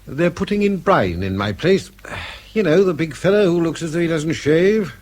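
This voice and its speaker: A man's voice: on the high side, animated, normal speed, a little mellow. An actor playing a kindly older British section chief in a radio drama, genial and fatherly in manner. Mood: genial, reassuring. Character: kindly, avuncular old outgoing section chief.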